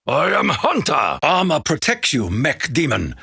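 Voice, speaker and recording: An actor playing a proud, honor-bound Japanese warrior in a video game, with a Japanese accent, gravelly husky voice and solemn, formal, declamatory delivery. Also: male voice, on the high side, wildly swooping pitch, measured pace, bright, forceful tone, dry recording, studio quality, heavily acted. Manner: solemn, declamatory, formal clipped phrasing with dropped articles. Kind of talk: theatrical video game voice acting.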